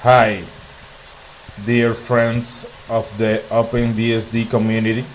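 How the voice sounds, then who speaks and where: Male; very slow, halting tech narration with a faint hum underneath. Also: medium pitch, monotone, poor audio. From Dominican Republic. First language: Spanish. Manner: speaks in short broken phrases with pauses and fillers. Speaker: tech YouTuber.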